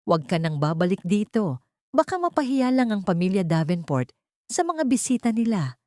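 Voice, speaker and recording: A woman's voice: mid-range voice, big pitch swings, moderate pace, warm tone, no echo, voice pushed up, lightly acted. A woman narrator gives voice to a harsh security guard in an audio drama. The guard is curt, scornful and dismissive, in a dry recording.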